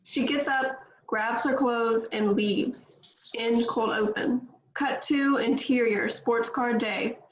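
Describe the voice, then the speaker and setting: A woman's voice: a little high, fairly even intonation, slow, a little hiss or hum. Woman reading stage directions, echoey. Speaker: script reader. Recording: echoey room, dull lo-fi sound.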